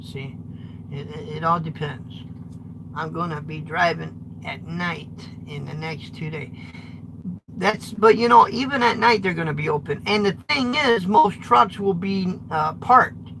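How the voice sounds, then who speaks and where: An American man with a high-pitched voice, a trucker vlogger chatting live with viewers from his truck cab in a blunt, rambling way. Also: a little slow, vocal fry, background noise. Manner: rambling, blunt, restarts often. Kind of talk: live-streamed chat with viewers.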